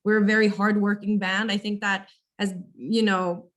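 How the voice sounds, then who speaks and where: A young woman with a naturally raspy voice, a rock singer chatting casually and hesitantly as an interview guest. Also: medium pitch, level tone, moderate pace. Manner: casual, hesitant, laughs often. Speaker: band vocalist, interview guest.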